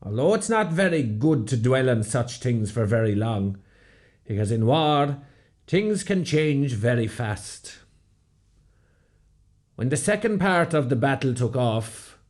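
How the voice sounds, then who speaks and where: An actor playing a proud rebel veteran, giving measured, scripted storytelling narration in a radio play. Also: male voice, medium pitch, darkish tone, natural voice. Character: proud Irish rebel veteran recounting a battle.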